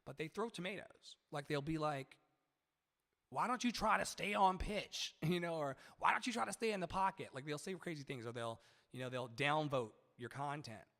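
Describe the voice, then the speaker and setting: A man with a boomy baritone-tenor voice teaching singing on a live stream, rambling and chatty, swearing casually between sung examples. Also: moderate pace, fairly bright tone. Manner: rambling, chatty, profane, sings snatches mid-talk. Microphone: studio mic with added reverb. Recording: reverb-drenched streaming mic.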